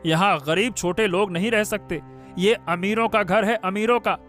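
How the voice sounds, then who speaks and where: A man's voice: sits high, steady tone, normal speed, fairly bright tone, soft background music. A man playing an arrogant, sneering grown son who plots in a cartoon family drama. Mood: contemptuous. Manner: sneering, contemptuous. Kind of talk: Hindi cartoon moral-story dubbing.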